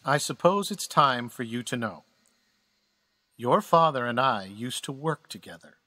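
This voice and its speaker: Guy acting as wise old space admiral mentor, bright forceful voice, kinda husky, formal, audio drama. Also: mid-range voice, animated, no echo. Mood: grave, revealing. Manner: measured, formal, earnest mentor's delivery.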